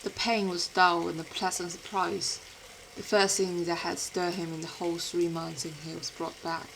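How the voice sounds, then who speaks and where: A woman who is not a native English speaker, with a British-influenced accent, reads fiction aloud in an expressive, literary storytelling manner. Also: fairly low pitch, moderate pace, creaky, slight background noise, low-quality recording. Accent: British-influenced non-native English. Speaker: podfic reader. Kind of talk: dramatic scripted fiction narration.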